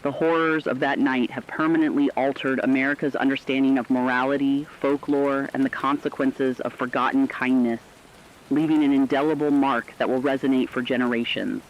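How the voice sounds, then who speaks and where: A man's voice: mid-range voice, fairly even intonation, a little fast, highs cut off, lightly acted. A man playing a radio anchor who solemnly addresses listeners in formal, portentous scripted horror narration. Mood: solemn, warning. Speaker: radio host.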